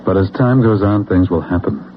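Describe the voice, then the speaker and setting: Low-voiced, grandiose American zealot, played by a man. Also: slight background noise, lightly acted. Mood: assured, confiding. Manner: grandiose, insistent, persuasive, with deliberate dramatic pauses. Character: intense, self-assured political zealot, a man convinced of his destiny. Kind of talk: old-time radio drama acting. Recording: muffled old radio broadcast with music.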